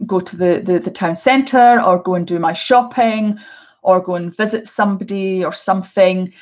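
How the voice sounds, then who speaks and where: A woman's voice: medium pitch, normal speed, darkish tone, dull audio. A middle-aged Scottish woman chatting casually through a live unboxing, with many hesitations and restarts. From Scotland. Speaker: art vlogger.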